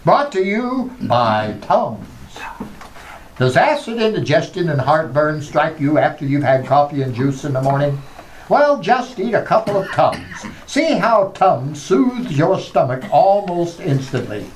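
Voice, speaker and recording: A man's voice: a little high, sing-song, measured pace, slight background noise, low-quality recording. A man announcing in a scripted old-time radio style, introducing and narrating as the show's announcer. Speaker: show announcer.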